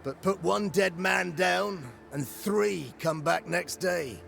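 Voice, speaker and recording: Gravelly, high-pitched dutiful fort guard. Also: male voice, takes it slowly, fairly bright tone, faint music behind, heavily acted. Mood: grave, bargaining. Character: wry, dutiful male fort guard on duty. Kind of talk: video game cutscene acting.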